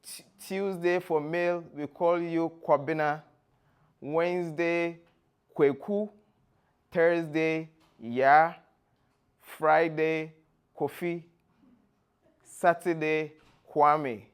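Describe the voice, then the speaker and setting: A man from Ghana with a Ghanaian accent, giving a presentation in a deliberate, explanatory way, phrase by phrase. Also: fairly high pitch, steady tone, smooth. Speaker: guest speaker, former tour guide. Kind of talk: presentation to an audience with slides.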